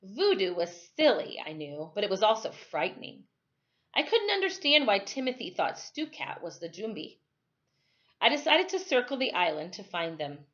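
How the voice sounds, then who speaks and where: Dull-sounding recording, woman reading aloud with lively pitch. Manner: expressive, deliberate phrasing. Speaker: teacher reading a storybook aloud. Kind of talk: expressive read-aloud of a children's novel.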